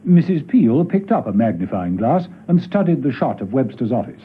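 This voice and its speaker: A man's voice: mid-range voice, big pitch swings, moderate pace, breathy edge. A man acting as the storytelling narrator of a classic spy radio drama, reading scripted narration. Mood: measured storytelling. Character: male narrator of a spy adventure. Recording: tinny, band-limited old radio broadcast, music behind.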